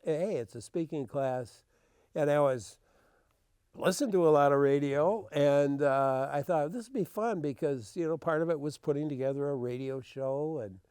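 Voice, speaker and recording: Older American man, smooth and mellow. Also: medium pitch, dry recording, clean studio sound. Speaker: veteran public radio host. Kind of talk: casual reminiscing conversation. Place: radio studio.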